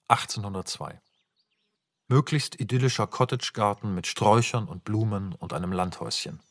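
A man reading the stage directions of a radio play in a plain, measured way. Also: on the low side, no echo. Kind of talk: scripted radio play narration.

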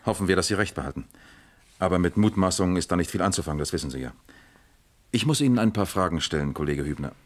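Deep-voiced, shrewd police captain. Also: male voice, expressive, fast. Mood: measured, probing. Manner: probing, dry, businesslike. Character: shrewd, methodical male East German police captain investigating a death. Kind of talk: German radio crime drama acting.

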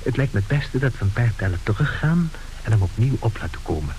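An actor playing a confiding, sceptical narrator who addresses the audience in an old radio play. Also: male voice, mid-range voice, sing-song, quick pace, a little mellow, lightly acted, rough recording. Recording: hissy old radio recording.

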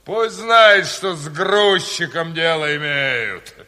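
An actor playing a brazen, boastful dock-loader turned commissar, swaggering and mocking in a Soviet radio drama. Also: male voice, on the high side, animated, slow, bright, forceful tone, exaggerated voice. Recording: reverberant hall-like studio radio play.

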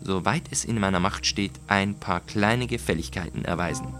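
Guy doing a calm mocking villain, low voice, fake polite and menacing, gothic audiobook with music. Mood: mock courtesy. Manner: mock-courteous, deliberate. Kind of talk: dramatic audiobook character voice.

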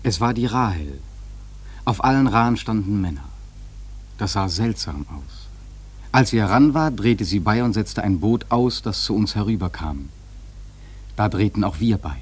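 Seafarer narrator for radio play: husky, fairly deep, solemn literary storytelling, grave and measured. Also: male voice, expressive. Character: grave sailor narrator recalling a doomed whaling voyage.

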